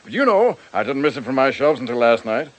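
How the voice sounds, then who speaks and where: Brash American businessman, male actor, radio drama. Shrewd and folksy, medium-pitched, very animated, plain-spoken slang. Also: fast talker, lightly acted. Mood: affable, candid.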